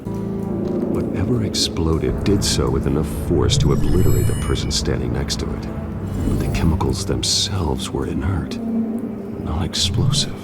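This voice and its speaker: An actor playing a brooding masked vigilante detective in a video game, gravelly and terse, speaking in grim, clipped phrases. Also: male voice, rumbling low, expressive, measured pace, full character voice. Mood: grim, focused. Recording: loud music under the voice. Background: loud music.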